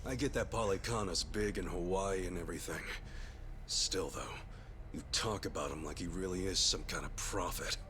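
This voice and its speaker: Deep-voiced, blunt streetwise hero. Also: male voice, normal speed, pushed and bright, a little hiss or hum. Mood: skeptical. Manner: blunt, challenging, skeptical. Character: cocky, blunt, streetwise male fighter hero. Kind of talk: video game cutscene acting. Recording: music behind the voice.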